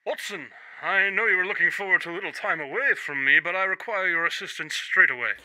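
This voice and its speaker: A man's voice: high-pitched, fast, pushed and bright, dry recording, low-quality recording. A man playing a shrewd, self-assured detective in a radio drama, speaking formally with a wry, faintly condescending manner. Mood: brisk, assured. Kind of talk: scripted radio drama acting.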